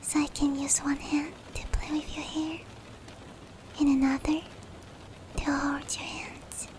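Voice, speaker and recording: A woman voicing a gentle, caring female demon in an intimate roleplay ASMR, whispering softly and breathily to comfort a human. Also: high voice. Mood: tender. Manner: slow, tender, reassuring. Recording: steady rain noise behind. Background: rain.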